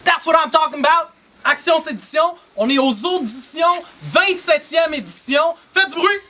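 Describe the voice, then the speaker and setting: Un homme à l'anime une soirée de battle rap en direct, d'un ton fort et enjoué, avec de l'argot anglais. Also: male voice, extremely high pitch, moderate pace, a little hiss or hum. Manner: loud, playful hype, mixing English slang. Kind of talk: hyping a live event. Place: small indoor shop venue. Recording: loud crowd and music behind.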